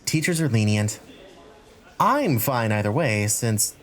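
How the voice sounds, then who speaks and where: A man's voice: on the low side, big pitch swings, slow pace. A man voicing a friendly, chatty young male dinosaur student, a helpful class president, in a visual novel fan dub.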